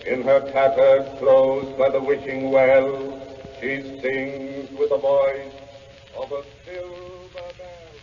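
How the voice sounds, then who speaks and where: An actor playing the solemn spirit of a magic mirror, intoning verse in an old radio drama style. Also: male voice, mid-range voice, level tone, slow, natural voice. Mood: solemn, revealing. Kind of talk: 1930s radio acting. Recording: tinny, faintly noisy old radio broadcast.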